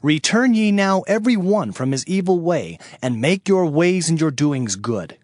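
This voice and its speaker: A man giving a dramatized scripture narration as an audio Bible narrator, solemn and declamatory with deliberate phrasing. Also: fairly high pitch, lively intonation, a little mellow, dry recording, clean studio sound. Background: background music.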